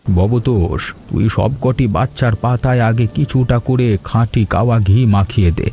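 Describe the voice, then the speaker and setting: Village elder for animated story, male actor: soft dark tone, grave, caring, measured delivery. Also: medium pitch, a little hiss or hum, close to an everyday voice.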